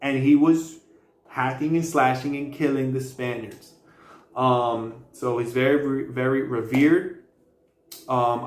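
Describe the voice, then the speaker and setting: A man hosting a casual history vlog, talking in a loose, slangy way with many fillers and restarts. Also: mid-range voice. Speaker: video host. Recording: roomy echo with faint background noise.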